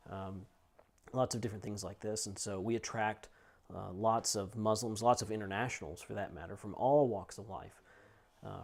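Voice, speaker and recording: A middle-aged man with a fairly low voice speaks as a teacher introducing himself to a class. His delivery is informal and conversational, explaining things plainly as he goes. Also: a little mellow. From United States and Scotland. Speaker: seminary teacher and missions pastor. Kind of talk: casual course introduction to students.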